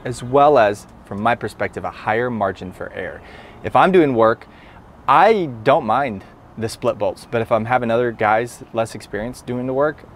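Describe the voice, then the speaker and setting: A man's voice: mid-range voice, slight background noise. Chatty nasal-voiced man on a job site. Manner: talkative, plainspoken, upbeat. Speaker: electrician and instructor. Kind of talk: casual on-the-job explanation.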